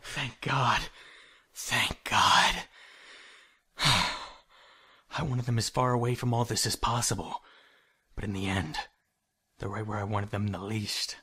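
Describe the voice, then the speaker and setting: A man playing a weary, desperate captive doctor and worried father in an audio drama, urgent and halting. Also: fairly low pitch, steady tone, pushed and bright, breathy edge, heavily acted. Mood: relieved, weary.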